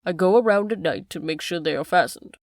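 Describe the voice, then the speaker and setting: A woman voicing a frightened, secretive middle-aged male butler with a lowered, pleading voice. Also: fairly quick, a little mellow, no echo, clean studio sound, close to an everyday voice. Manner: pleading then defiant, lowered voice.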